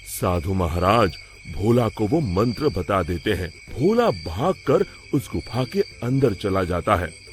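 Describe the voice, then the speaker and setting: A man's voice: lowish voice, sing-song, takes it slowly, slight background noise. A man narrating a scripted moral story as a storyteller. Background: background music.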